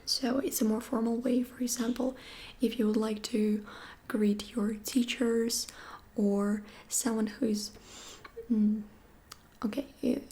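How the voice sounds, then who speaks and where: Slow whispering woman teaching phrases. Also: on the high side. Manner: soft whisper, slow repetitions. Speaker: language teacher. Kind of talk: whispered ASMR lesson.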